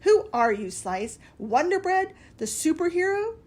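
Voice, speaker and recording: A woman's voice: on the high side, expressive, a little slow, husky. A woman playing a scoffing salt shaker. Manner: scoffing, giggly. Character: blunt salt shaker, matter-of-fact.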